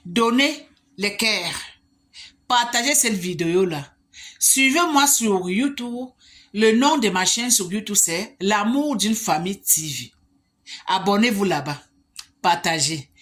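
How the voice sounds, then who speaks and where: A woman's voice: medium pitch, expressive, slow, a bit bright. A woman from Africa, talking straight to the camera as a vlogger and putting emphatic rhetorical questions to the viewer. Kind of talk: monologue to the camera.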